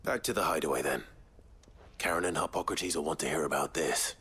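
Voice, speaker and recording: An actor playing a grim, weary, brooding leader in a dramatic video game, speaking in a dry, measured way. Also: male voice, a bass voice, bright, forceful tone, husky, exaggerated voice. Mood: dry, resigned. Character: grim, weary male leader haunted by power.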